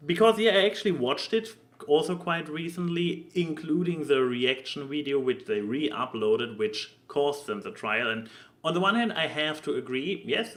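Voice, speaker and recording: A man hosting a casual podcast discussion, talking haltingly and often stammering or repeating words as he goes. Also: medium pitch. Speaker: podcast host.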